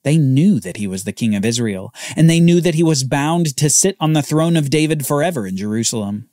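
A man hosting a podcast, telling a Bible story as scripted narration with dramatic pauses. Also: a little high, lively intonation, dark tone, no room sound, clean studio sound.

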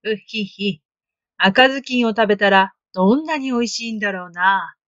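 A woman voicing a sly, hungry male wolf in a storybook reading, speaking slowly with gloating menace. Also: medium pitch, a bit bright, dry recording, muffled recording, close to an everyday voice. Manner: slow, gloating, drawn-out menace. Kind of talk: storybook read-aloud.

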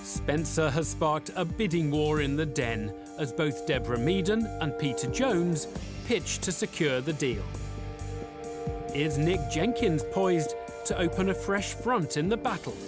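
A man giving scripted voice-over narration for a business show, measured and dramatic. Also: fairly high pitch, lively intonation. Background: background music.

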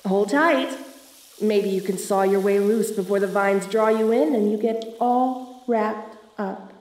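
A woman's voice: mid-range voice, unhurried, darkish tone, lightly acted. Woman acting a theatrical femme fatale, roomy echo. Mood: sultry, teasing. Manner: theatrical, pleading or teasing. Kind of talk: audio roleplay acting addressed to the listener. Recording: roomy echo, distant from mic.

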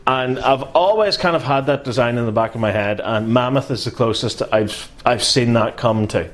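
A man in his thirties with a Northern Irish accent chats casually as a co-host, reading viewer comments aloud. He is animated and swears freely, his voice sitting at a medium pitch. It sounds like a small room recorded on a fixed camera mic. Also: moderate pace. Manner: animated, swearing, gestures a lot while talking.

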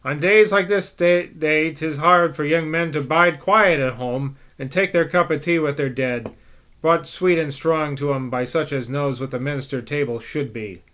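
A shrill, scolding old servant woman rattles on in broad West Country speech, loud and defiant, as a man puts her on. Her voice rides higher and louder than the reader's own, full of stubborn rustic grumbling from a storybook. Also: moderate pace, close to an everyday voice. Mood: scornful. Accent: put-on West Country rustic dialect. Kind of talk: amateur audiobook reading.

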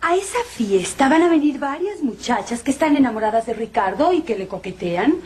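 High, animated, scheming Mexican lady. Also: fairly quick, slight background noise. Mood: instructing. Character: refined, scheming society lady in an old telenovela. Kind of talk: 1980s telenovela acting. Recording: old TV soundtrack, faint music.

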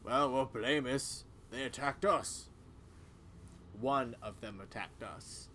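A man reading video game dialogue aloud in a casual, expressive way. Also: medium pitch, takes it slowly, slight background noise. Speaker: game player voicing characters.

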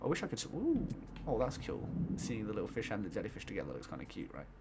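A young man doing let's-play commentary, giggling often, croaky today, mumbling to himself one moment and shouting with excitement the next. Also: fairly low pitch, fast, heavy background noise. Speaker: gaming YouTuber.

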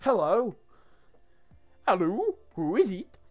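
A man's voice: high-pitched, expressive, fairly quick, highs cut off, faint music behind, pitched above the performer's own voice. A man playing an earnest, regal king who proclaims formally and insistently, in a comic script reading. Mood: rallying, formal. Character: earnest, regal King Arthur growing exasperated.

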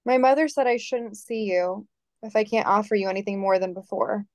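A woman's voice: mid-range voice, steady tone, a little fast, darkish tone, no echo, natural voice. Woman reading earnest yearning lover, dry medium voice. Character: earnest, yearning actress in forbidden love. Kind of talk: screenplay table reading. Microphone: video call.

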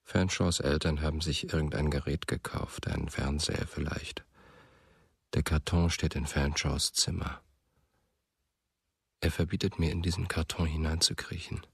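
A brooding rememberer in a literary radio play. His voice is very deep and heavily husky, with gravel in it. The delivery is hushed and reflective, recorded close and dry. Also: steady tone, moderate pace, no echo, exaggerated voice. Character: brooding man recalling his childhood friend. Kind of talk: literary radio drama narration.

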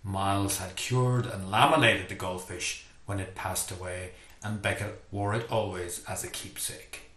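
Playful Irish man reading aloud, low voice. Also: a little slow. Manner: playful, self-deprecating, lively storyteller. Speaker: author reading his book. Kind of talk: reading a book passage aloud. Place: home study with bookshelves, indoors. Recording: echoey room.

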